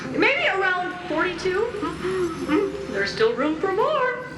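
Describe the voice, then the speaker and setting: A vain, girlish magic mirror from a video game, extremely high and swooping. The delivery is theatrical and drawn-out, delighted with itself, heard in an echoey space over music. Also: big pitch swings, distant mic, heavily acted. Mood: coy, breezy.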